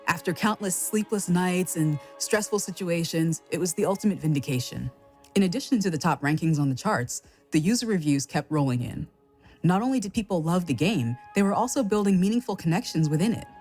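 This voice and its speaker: A woman's voice: on the low side, expressive, fairly quick, a little mellow, slightly rough, faint music behind. A woman reading scripted retrospective narration as a game developer telling her team's story.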